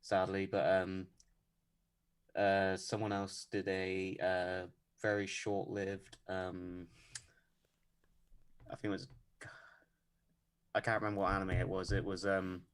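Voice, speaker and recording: A man guesting on a podcast, talking about his animation work in a deadpan, measured way with many pauses and ums. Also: low voice, clear, resonant tone. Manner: measured, lots of ums and hedges. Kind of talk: casual interview chat.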